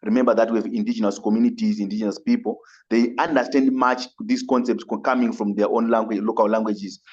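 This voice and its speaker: A man with a Zimbabwean accent speaking up as a migrant rights advocate in a webinar. He is earnest and halting, and often repeats and rephrases himself as he goes. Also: lowish voice, fairly even intonation, a little mellow, highs cut off. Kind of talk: webinar audience comment.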